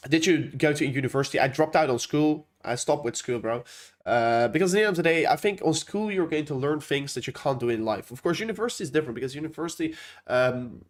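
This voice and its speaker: A man's voice: fairly high pitch, expressive, quick pace. A young man from the Netherlands with a Dutch accent, hosting a casual crypto livestream and rambling through viewer questions with many fillers.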